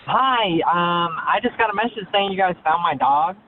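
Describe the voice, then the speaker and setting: A man calling on a thin phone line, talking in a halting, hesitant, evasive way. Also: high-pitched, expressive, a little hiss or hum. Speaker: caller.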